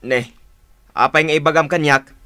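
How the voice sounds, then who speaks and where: A man's voice: a little high, steady tone, normal speed, pushed and bright, clear, resonant tone, no echo. A man with an Ilocano accent playing a lazy, irritable, hungover husband in a radio drama. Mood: grumpy, irritable. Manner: grumbling, irritable.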